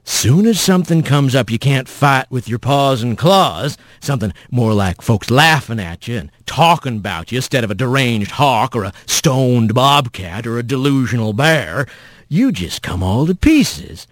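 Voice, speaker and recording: A man voicing a huge, mocking but paternal spirit creature, with a soft rumbling voice and rustic folksy drawl. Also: big pitch swings, moderate pace, no echo, studio quality, lightly acted. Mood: mocking, stern.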